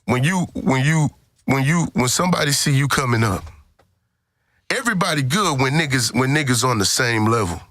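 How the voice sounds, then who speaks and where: A man's voice: medium pitch, lively intonation, moderate pace, fairly bright tone, no room sound, studio quality. A man co-hosting a casual podcast discussion, emphatic and slangy, circling back to repeat his points. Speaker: podcast co-host.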